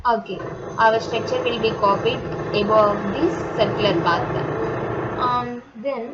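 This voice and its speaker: A woman with an Indian accent speaks in a fairly high voice through a dull, lo-fi recording with loud noise behind her. She teaches software step by step, unscripted, posing short questions and then answering them herself. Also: a little slow. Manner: instructional, asks and answers own questions. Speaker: software tutorial instructor. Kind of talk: step-by-step screen tutorial, unscripted.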